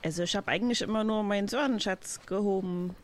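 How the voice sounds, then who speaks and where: A woman's voice: mid-range voice, fast talker, natural voice. A woman improvising a practical female pirate crewmate in an audio drama, talking in a rambling, colloquial way. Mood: chatty.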